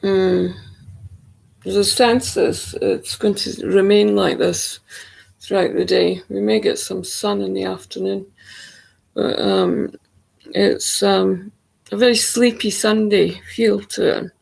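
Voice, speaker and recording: Casting: woman, croaky and gravelly, unhurried spiritual monologue, gentle and hesitant. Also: medium pitch, flat delivery, rough recording. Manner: soft, hesitant, many pauses and fillers. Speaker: spiritual channel. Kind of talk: slow reflective monologue. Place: home.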